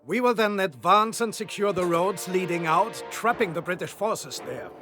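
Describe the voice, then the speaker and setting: An actor playing a confident wartime general, giving authoritative, formal mission briefings in a video game. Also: male voice, sits high, moderate pace, bright, forceful tone, soft background music. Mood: commanding, assured. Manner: authoritative, formal, self-assured. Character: confident, commanding male German panzer general in wartime.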